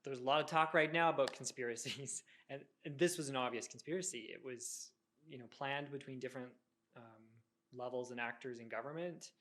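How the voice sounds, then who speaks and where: A man giving a serious opinion in a discussion, deliberate and pausing between phrases. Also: mid-range voice, a bit bright. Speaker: panelist.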